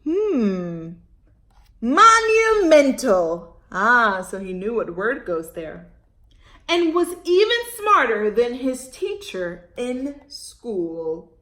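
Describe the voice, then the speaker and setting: A woman hosting a story time for young children, reading aloud with dramatic emphasis, drawn-out words and questions to her listeners. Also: fairly high pitch, sing-song, fairly bright tone, roomy sound.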